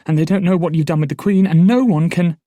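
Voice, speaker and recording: A man voicing an excitable, headstrong elderly noblewoman in an audiobook, talking in a rapid, indignant rush. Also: medium pitch, husky, no room sound, studio quality, close to an everyday voice. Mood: breathless. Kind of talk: audiobook character voice.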